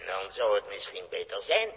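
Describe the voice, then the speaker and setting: Sly, grandiose warlord in a hall. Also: male voice, low-pitched, vocal fry, fairly bright tone, full character voice. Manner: theatrical, mock-polite, hemming and repeating himself. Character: sly, grandiose exiled warlord, cunning galactic game player. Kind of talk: 1960s radio drama acting. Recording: old radio recording in reverberant hall.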